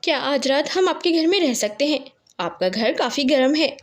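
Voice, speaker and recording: A woman voicing a gentle, polite, forgiving mother bird in a cartoon. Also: high voice, animated, moderate pace, voice pushed up. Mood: polite, hesitant. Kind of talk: Hindi cartoon character acting.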